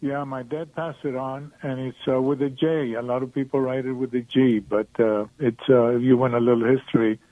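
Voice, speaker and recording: A man's voice: medium pitch, fairly even intonation, brisk, few pauses, dry recording. A man from Mexico with a Mexican accent, calling in to a radio show and talking hesitantly with many fillers. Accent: Mexican Spanish. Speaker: caller. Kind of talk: phone call to radio show. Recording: tinny phone line.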